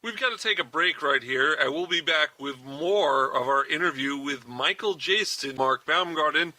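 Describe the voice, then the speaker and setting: A man's voice: a little high, normal speed, pushed and bright, rough recording. An American man hosting a radio show, chatting like a fan with his guest in an interview. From United States. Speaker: radio host.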